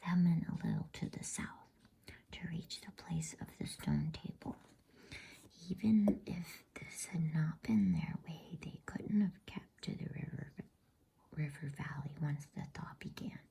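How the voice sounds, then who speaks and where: A woman reads a storybook aloud as ASMR in a hushed, unhurried, breathy whisper meant to soothe. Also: deep voice, sing-song, low-quality recording. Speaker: reader of bedtime stories.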